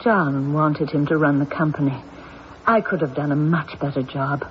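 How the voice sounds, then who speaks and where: A woman's voice: sits low, fairly even intonation, normal speed, close to an everyday voice. Woman acting aggrieved widow, muffled radio drama. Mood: bitter. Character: bitter, ambitious widow confessing to murder. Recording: dull, noisy old radio broadcast.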